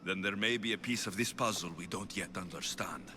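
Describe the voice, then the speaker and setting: An actor playing a calm, determined warrior hero in a video game cutscene, speaking in a steady, measured way. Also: male voice, low-pitched, noisy background, lightly acted. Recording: game cutscene with loud score behind.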